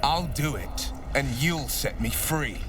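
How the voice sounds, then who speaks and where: Earnest, slow-spoken hero. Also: male voice, medium pitch, expressive. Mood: firm demand. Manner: firm, dramatic. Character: earnest heroic man bound by a devil's pact. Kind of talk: video game voice acting. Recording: distant, with clear background noise.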